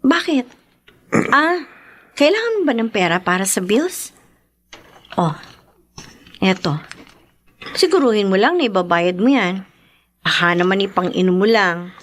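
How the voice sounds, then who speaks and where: A woman's voice: mid-range voice, expressive, measured pace, long pauses. A woman with a Filipino accent playing a hurt, guarded daughter in her twenties in a Tagalog radio drama. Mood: curt, cold. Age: 20s.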